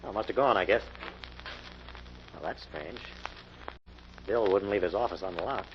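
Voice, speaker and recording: An actor playing an alert, resolute crime-fighter in a 1940s radio mystery, earnest in manner. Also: male voice, low voice, unhurried. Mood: curious, musing. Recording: noisy muffled old radio recording.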